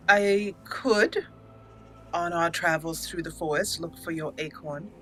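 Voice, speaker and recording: Bright-voiced woman reading game dialogue in character. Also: on the low side, slow pace, slight background noise. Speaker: gaming channel host, role-playing her character.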